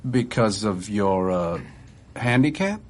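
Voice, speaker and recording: Brash, blustering spy boss. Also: male voice, on the low side, sing-song, slow pace, a little hiss or hum. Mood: awkward. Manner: blunt, informal, blustering. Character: brash, blustering male American spy boss, hard-drinking. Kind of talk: radio drama dialogue.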